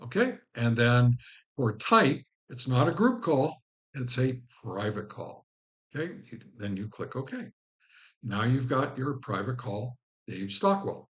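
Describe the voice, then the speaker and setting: A man's voice: medium pitch, sing-song, measured pace. A man presenting an informal step-by-step tutorial as host, instructing the viewer directly. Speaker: host of a ham radio how-to channel.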